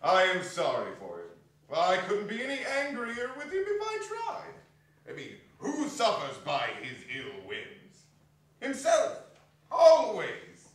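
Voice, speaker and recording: Guy acting a cheery exasperated young nephew onstage, lively swoopy voice, super echoey room. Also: high voice, takes it slowly, voice pushed up, full character voice. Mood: amused exasperation. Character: Fred, Scrooge's jovial, merry, exasperated young nephew. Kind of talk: theatrical dramatic reading on stage. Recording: echoey hall, distant microphone.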